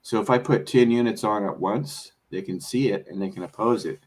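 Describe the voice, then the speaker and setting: A man talking casually about trading, rambling and explaining with many fillers and restarts, a bit hoarse as he is losing his voice. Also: lowish voice, a little fast, a little mellow. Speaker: trader. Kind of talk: casual conversation.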